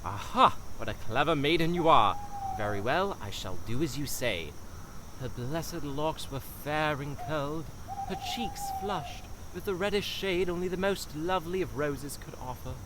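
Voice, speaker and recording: A man's voice: a little high, lively intonation, normal speed, lightly acted. A man playing a lovestruck, pompous young prince in a comedic fairytale audio drama, declaiming in flowery, grandiose, melodramatic fashion. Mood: pleased, courtly. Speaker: voice actor. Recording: amateur recording, clear background noise.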